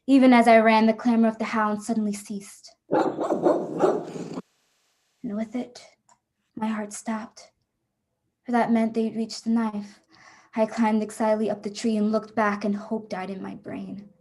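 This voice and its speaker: An actress playing a hunted adventurer in a radio drama, telling of the escape with suspense and defiance. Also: female voice, on the high side, flat delivery, measured pace, long pauses, darkish tone, close to an everyday voice. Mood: tense, desperate. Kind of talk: first-person radio drama narration.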